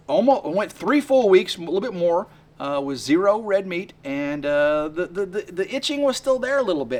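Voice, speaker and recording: Excitable rambling man in his fifties. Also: high-pitched. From West Tennessee. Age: 50s. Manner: rambling and excitable, many fillers and restarts. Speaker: homesteading vlogger. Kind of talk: rambling casual vlog to camera. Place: outdoors by a work shed on a sunny day.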